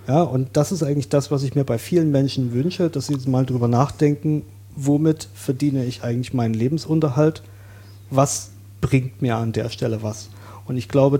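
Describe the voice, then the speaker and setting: A man from Saxony, a guest on an interview podcast, explaining his views in a thoughtful, measured way. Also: medium pitch, mellow, slightly rough. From Saxony, Germany.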